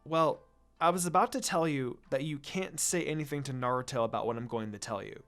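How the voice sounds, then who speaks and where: A man's voice: mid-range voice, brisk, fairly bright tone, soft background music, natural voice. A man voicing an earnest, devoted teenage girl wizard in a story reading, with a sincere, pleading manner. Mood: earnest, confiding.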